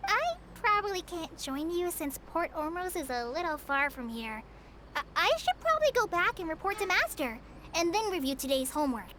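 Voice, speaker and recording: A woman's voice: extremely high pitch, very animated, normal speed, background noise. A woman voicing a polite, dutiful young student in a video game, a little hesitant. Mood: polite, earnest.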